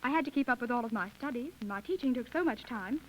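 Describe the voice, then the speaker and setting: A woman's voice: fairly high pitch, lively intonation, fast. An eighteen-year-old American woman answering questions as a guest in a scripted 1930s radio interview. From United States. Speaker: interview guest, contest winner. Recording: dull, hissy 1930s radio recording.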